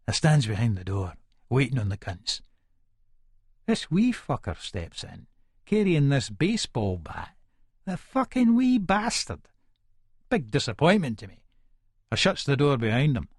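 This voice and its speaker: A volatile Edinburgh hard man telling his own story in a dramatised audiobook. His broad Scottish speech is aggressive, colloquial and thick with swearing, sitting at a middling pitch. The sound is close and dry. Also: huge pitch swings, measured pace, long pauses, breathy edge, no echo, exaggerated voice. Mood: menacing. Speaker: audiobook narrator in character. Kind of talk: first-person dramatised audiobook monologue.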